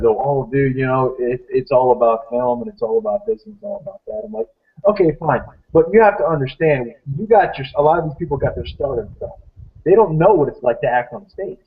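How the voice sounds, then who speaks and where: A man's voice: medium pitch, animated, fast talker, gravelly. A man in his thirties hosts a loose live-stream chat. He rambles, doubles back on his words and argues his view with conviction. His mid-range voice sounds dull and muffled, as if recorded on a lo-fi setup. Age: 30s. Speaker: host. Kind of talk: casual panel chat.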